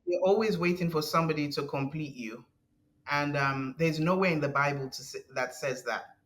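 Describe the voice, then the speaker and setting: Woman with a deep low voice, podcast guest, earnest, halting, restarts often. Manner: earnest, halting, with frequent restarts and soft laughs. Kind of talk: conversational interview.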